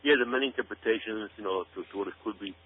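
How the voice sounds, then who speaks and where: Man, lowish voice, emphatic, repetitive phrasing, conspiracy radio commentary, narrow phone-like sound. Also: rapid-fire. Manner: emphatic, repeats words and phrases. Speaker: talk show guest commentator.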